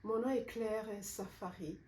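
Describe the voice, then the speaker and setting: A middle-aged woman from Rwanda, an author giving a personal testimonial in a hesitant, measured, sincere way. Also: mid-range voice, a little mellow. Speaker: author presenting her book. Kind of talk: unscripted personal testimonial to camera. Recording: roomy echo, faint background noise.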